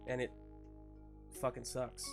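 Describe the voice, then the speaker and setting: A man voicing a blunt, foul-mouthed woman superhero who comforts awkwardly and haltingly in a tabletop game. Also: medium pitch, monotone, creaky, over music. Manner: halting, casual, swearing bluntly.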